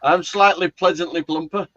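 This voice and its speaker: A man's voice: a little high, fairly bright tone, dry recording. A man in his late forties chatting casually with friends, jokey, teasing and sweary. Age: late 40s. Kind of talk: casual group chat. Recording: dull lo-fi chat audio.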